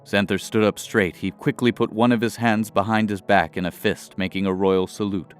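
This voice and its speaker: Deep-voiced, clear male fantasy narrator. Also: steady tone, normal speed, faint music behind. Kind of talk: scripted fantasy audio drama narration.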